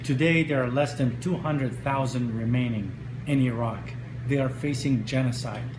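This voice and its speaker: A man's voice: medium pitch, normal speed. Earnest man in an echoey room. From Lebanon and Egypt. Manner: earnest, with frequent uh fillers. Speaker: interviewee. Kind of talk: speaking to camera in a news interview. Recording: echoey room with steady noise.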